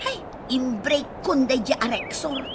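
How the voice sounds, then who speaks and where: A high young woman's voice from an actress with an Ilocano accent. She plays a chiding, exasperated girlfriend in a radio comedy, over noticeable noise and birdsong. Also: lively intonation, measured pace. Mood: disgruntled. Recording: noisy audio with bird sounds.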